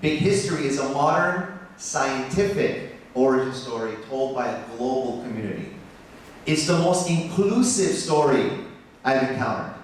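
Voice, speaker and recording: A man's voice: recorded from a distance, slight background noise. Passionate American man in an echoey hall. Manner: passionate, rising in pitch when animated. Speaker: biology professor. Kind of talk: conference talk. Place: conference hall.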